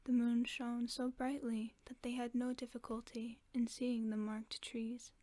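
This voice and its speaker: Woman, whispered bedtime story reader, very slow, flat delivery, dry close sound. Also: a little high, no echo. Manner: soft, mostly unvoiced whisper. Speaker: ASMR storyteller.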